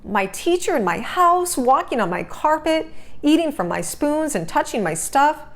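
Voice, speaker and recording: A woman's voice: high-pitched, big pitch swings, normal speed, voice pushed up. A woman reading a children's storybook, voicing an earnest, easily flustered young schoolboy in an exclamatory manner. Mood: indignant. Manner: exclamatory, protesting. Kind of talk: children's storybook read-aloud.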